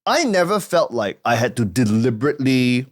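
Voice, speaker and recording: Lively-voiced man hosting a podcast. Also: medium pitch. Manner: opinionated, measured, explaining at length. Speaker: podcast host and school founder. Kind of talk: casual podcast conversation.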